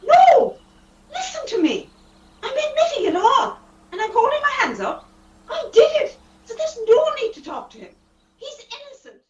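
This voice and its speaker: A woman's voice: extremely high pitch, sing-song, normal speed. A woman playing an earnest, devoted, pleading young-hearted confessor in a radio play. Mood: desperate. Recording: muffled, distant amateur recording.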